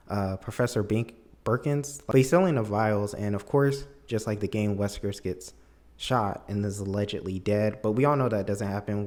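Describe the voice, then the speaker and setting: A man's voice: fairly low pitch, a little mellow. A man giving a casual, opinionated film review as a video commentator, with an emphatic, incredulous, conversational manner.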